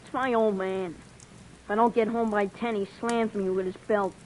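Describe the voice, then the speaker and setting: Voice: mid-range voice, fairly even intonation, normal speed, a little hiss or hum, lightly acted, low-quality recording. Brief: a performer as a tough-talking street boy, young teen, cocky slang, old-time radio play. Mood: sleepy. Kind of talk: 1950s radio drama acting.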